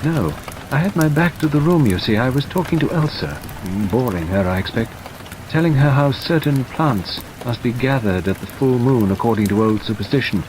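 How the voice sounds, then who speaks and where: A man puts on a fretful elderly country gentleman for an audiobook, his voice flying up high and swooping about whenever he protests. Steady rain hisses behind him. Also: moderate pace, natural voice. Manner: flustered, hesitant, rising high in pitch. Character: fussy, gentle older English country gentleman.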